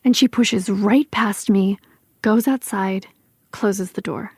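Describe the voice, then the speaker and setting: A woman playing a missing woman's protective, bitter best friend, talking in a casual, halting, emphatic interview style. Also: mid-range voice, a little mellow, breathy edge, dry recording, studio quality. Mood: uneasy recounting. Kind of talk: acted documentary interview, conversational.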